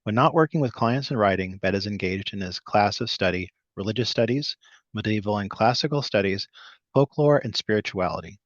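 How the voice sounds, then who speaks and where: A male podcast host with a fairly low voice opens the show in a steady, scripted way. He introduces his guest and asks questions at the same even pace. The recording is dry and close. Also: dry recording, highs cut off. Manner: measured, reads intro from script.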